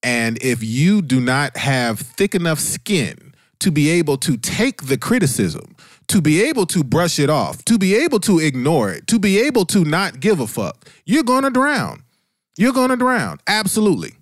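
Guy podcast host w a higher voice, super animated, swears a lot, blunt, really dry close sound. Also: moderate pace, dry recording. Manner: blunt, animated, emphatic repetition for effect. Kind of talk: casual, profane podcast discussion.

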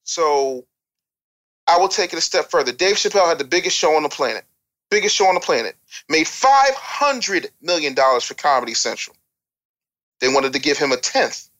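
A man's voice: fairly high pitch, big pitch swings, moderate pace, sharp tone. An American man talking as an opinionated guest on a casual talk show, emphatic and repeating phrases for effect like a storyteller. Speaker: interview guest.

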